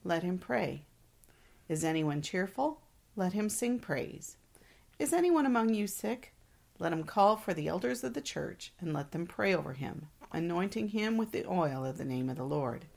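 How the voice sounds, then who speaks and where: A woman's voice: on the low side, animated, moderate pace, vocal fry, a little mellow. A woman co-hosting a devotional, reading reflections and scripture aloud. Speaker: devotional co-host.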